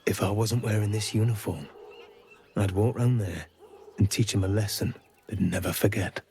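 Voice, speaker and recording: A man's voice: lowish voice, steady tone, moderate pace, a little mellow, breathy edge. An actor playing a folksy city guardsman with simmering resentment in a fantasy video game. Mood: grumbling, resentful. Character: city guardsman, hearty surface, seething bloodthirsty resentment. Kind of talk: video game character acting. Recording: music behind the voice.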